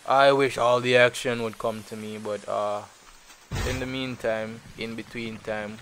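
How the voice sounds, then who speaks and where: A man's voice: mid-range voice, steady tone, unhurried, fairly bright tone, slight background noise, rough recording. A man with a Jamaican accent giving loose, rambling commentary over his mobile shooter gameplay, full of fillers and repeats. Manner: laid-back and rambling, with many fillers and repeats. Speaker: gaming channel host.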